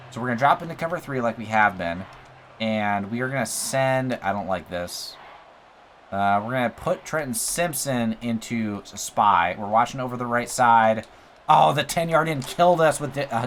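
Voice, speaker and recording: A young man giving casual play-by-play commentary over a football video game, excitable, with his voice going a little hoarse. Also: mid-range voice, a little hiss or hum. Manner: excitable, talks through plays, says bro and like. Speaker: gaming YouTuber. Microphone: USB desk mic.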